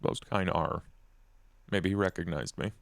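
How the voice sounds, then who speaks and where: Man playing a deep, gravelly vampire gentleman. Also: unhurried, no room sound. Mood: dry, lurking. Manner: terse, formal, cordial. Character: reserved, courteous, creepy lurking male vampire gentleman.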